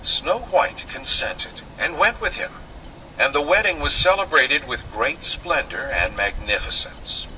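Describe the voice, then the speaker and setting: Male storybook narrator, theatrical and old-fashioned, piercingly bright forceful tone, unhurried pace. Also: on the high side, expressive, a little hiss or hum, rough recording. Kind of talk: scripted fairy tale narration.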